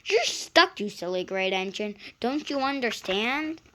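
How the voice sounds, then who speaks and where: A woman voicing a brisk, no-nonsense male engine driver in a children's storybook, blunt and scolding. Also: fairly high pitch, expressive, bright, forceful tone.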